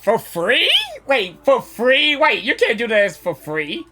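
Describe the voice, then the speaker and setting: Lively woman reacting casually to a game. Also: a little high, slow. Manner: informal, talks back to the game. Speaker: gaming reaction YouTuber. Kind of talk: casual live reaction commentary. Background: game music.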